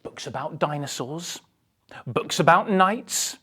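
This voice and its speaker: A woman's voice: deep voice, animated, takes it slowly, sharp tone, slightly rough. A woman reading a children's picture book aloud with theatrical, dramatic emphasis. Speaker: storybook reader.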